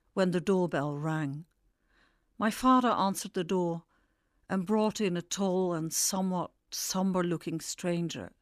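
Slow, measured older Dutch-born woman narrating. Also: fairly low pitch, dry recording, clean studio sound. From Netherlands. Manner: measured, reflective storytelling. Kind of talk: scripted personal memoir narration.

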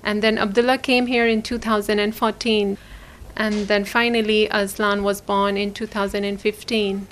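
A soft-spoken woman from Afghanistan answering interview questions, often pausing with fillers. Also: mid-range voice, level tone, slight background noise, low-quality recording.

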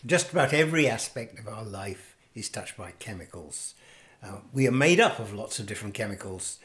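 Chemist guy explaining stuff calmly, plain everyday voice. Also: fairly low pitch. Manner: measured, explanatory. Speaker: chemist guest.

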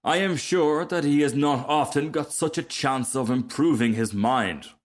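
A vain, pompous firework rocket from a storybook speaks with lofty self-importance, as a man puts him on. His voice rides higher and a bit louder than plain narration, every phrase dripping with conceit. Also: unhurried, close to an everyday voice. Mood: haughty.

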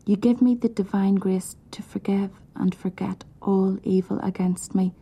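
A woman softly whispering a prayer, hushed and pleading. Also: mid-range voice, husky. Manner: hushed, pleading, slow and even.

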